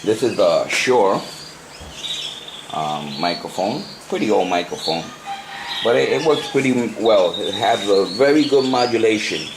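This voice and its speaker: A man giving an unscripted hands-on tutorial, talking haltingly and repeating himself as he works through the steps. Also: on the low side, animated. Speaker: hobbyist presenter of a CB radio tutorial. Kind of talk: unscripted hands-on how-to demonstration. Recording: noisy amateur home video.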